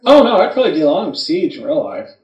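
A man with a slightly high voice chats on a podcast from a little way off the microphone in an echoey room. He recounts personal anecdotes matter-of-factly, in halting, casual phrases. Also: sing-song, darkish tone, highs cut off. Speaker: podcast co-host. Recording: roomy, distant from microphone.

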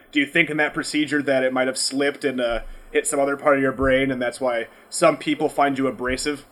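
Animated, slightly tipsy man podcasting. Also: medium pitch. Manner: animated, incredulous, swears freely. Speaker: podcast host. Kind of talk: casual chat, asking questions.

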